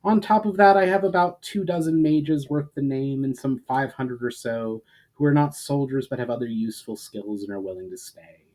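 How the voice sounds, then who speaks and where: A sober fantasy army commander , voiced by a male storyteller. He gives his report formally and gravely, with big swells and drops in emphasis. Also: medium pitch, moderate pace, darkish tone, natural voice, rough recording.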